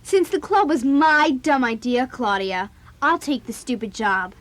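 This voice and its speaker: A high, youthful voice of a bossy teenage girl in a children's audio drama. She is blunt and forthright, quick to speak her mind. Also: expressive, a bit bright, no echo. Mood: brisk, huffy. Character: bossy, outspoken teenage girl club founder, also narrating.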